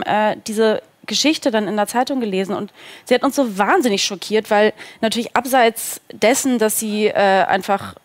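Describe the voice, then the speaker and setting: Female talk-show guest, thirties, higher register, informal and searching for phrasing, dry studio sound. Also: no echo. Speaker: guest, film producer. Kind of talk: casual talk-show interview. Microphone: headset microphone.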